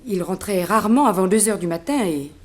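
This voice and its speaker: An actress playing a respectful, talkative and gossipy chambermaid in a 1960s radio serial. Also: female voice, medium pitch, expressive, fairly quick, natural voice. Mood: chatty, concerned. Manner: deferential, chatty.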